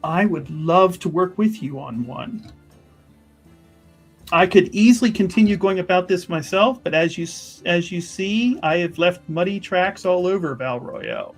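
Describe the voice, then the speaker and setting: A man playing an earnest, diplomatic chantry investigator in a tabletop fantasy game, speaking formally and haltingly as he picks his words with care. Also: high-pitched, faint music behind, voice pushed up. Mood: apologetic, conciliatory. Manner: halting, formal, courteous, choosing words carefully. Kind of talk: improvised in-character tabletop roleplay.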